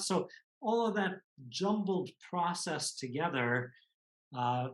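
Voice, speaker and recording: A man in his sixties from the United States, telling his life story in a measured, reflective way as an interview guest. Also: a little high, a bit bright, rough recording. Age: 60s. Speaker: guest author and executive coach. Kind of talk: conversational interview answers.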